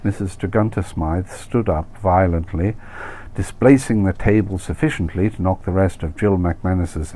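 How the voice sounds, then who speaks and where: Deep, mellow man narrating wryly. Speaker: author reading his own short story. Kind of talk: scripted comic story narration.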